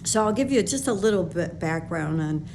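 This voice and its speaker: Older Chicago woman, low-voiced podcast host. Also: animated, moderate pace, a little mellow, a little hiss or hum. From West Side of Chicago. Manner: conversational and rambling, with frequent fillers and self-corrections. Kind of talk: personal storytelling monologue.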